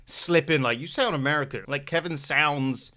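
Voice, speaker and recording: A young British man hosting a reaction video from his bedroom, making sarcastic, joking asides and breaking into sudden loud outbursts. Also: fairly high pitch, moderate pace, slight background noise. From Britain. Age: young adult. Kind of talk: reaction commentary. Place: small bedroom at home. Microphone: studio mic on boom, wearing headphones.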